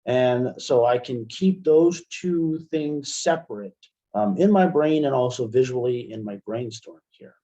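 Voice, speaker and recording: A middle-aged American man presenting an online software lesson step by step, in an informal, halting way, often checking in with "right?". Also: medium pitch. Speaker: webinar presenter and instructor. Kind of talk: software tutorial, explaining step by step. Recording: muffled, dull computer-call audio.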